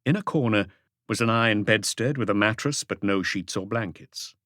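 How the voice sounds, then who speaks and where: A male audiobook narrator reads scripted mystery prose. His voice sits fairly low, and the recording is very dry, with hardly any room sound. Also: normal speed, a bit bright, dry recording.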